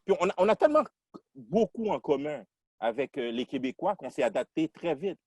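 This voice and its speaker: A man from Quebec talking through his identity in a casual podcast discussion, reflective and halting, restarting his sentences often. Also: fairly high pitch, sing-song, darkish tone, no echo, studio quality.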